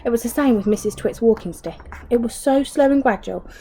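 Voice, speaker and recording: A woman's voice: on the high side, fairly quick, warm tone, a little hiss or hum. A woman reading a children's story aloud like a teacher, expressively, with asides and questions to young listeners.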